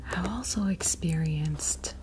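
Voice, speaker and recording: An American woman speaking in a soft, breathy near-whisper, chatting casually and hesitantly in an ASMR style close to a sensitive microphone. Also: deep voice, slow pace, a little hiss or hum, low-quality recording. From United States. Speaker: ASMR video host. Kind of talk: ASMR, casual unboxing chat.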